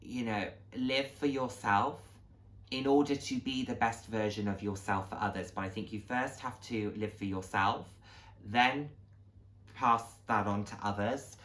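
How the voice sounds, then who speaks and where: A man's voice: lowish voice, unhurried, fairly bright tone. A man in his late twenties chatting casually and earnestly to viewers as a vlog host, reflective and encouraging. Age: late 20s. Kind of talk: casual heartfelt talk to viewers.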